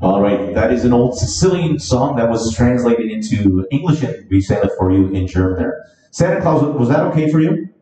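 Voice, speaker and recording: A man's voice: mid-range voice, expressive, moderate pace, dark tone. Upbeat man hosting in an echoey hall. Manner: upbeat, chatty, announcing songs. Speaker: event host and club president. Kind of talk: casual stage hosting between songs. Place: large club hall with holiday decorations. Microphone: far camera in the hall. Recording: echoey hall from far off, live music. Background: live band music.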